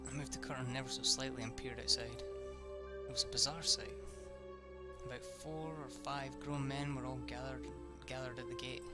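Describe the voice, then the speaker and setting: A young man reading a visual novel aloud in the first person, halting and correcting himself, with casual swearing now and then. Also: medium pitch. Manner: breaks off with casual swearing asides. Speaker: amateur reader voicing a visual novel. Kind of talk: casual first-person read-through of game text. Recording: loud game music behind voice.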